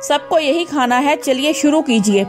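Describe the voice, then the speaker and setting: Lady doing a strict daughter-in-law in a cartoon, bossy, high voice. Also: moderate pace, faint music behind, close to an everyday voice. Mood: scolding. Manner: scolding, bossy. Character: firm young daughter-in-law, strict about healthy food. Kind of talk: cartoon voice acting.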